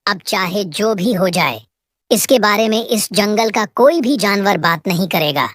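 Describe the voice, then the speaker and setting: Woman playing a male cartoon deer, medium pitch, humble, worried, loyal father, emotional, dry sound. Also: expressive, unhurried, a bit bright, dry recording. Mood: anxious, resolute. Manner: earnest, emotional. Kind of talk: children's animal moral-story cartoon.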